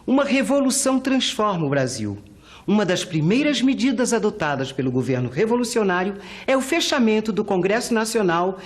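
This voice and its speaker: A woman with a Brazilian accent reading a scripted educational history narration as a documentary narrator. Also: medium pitch. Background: faint music.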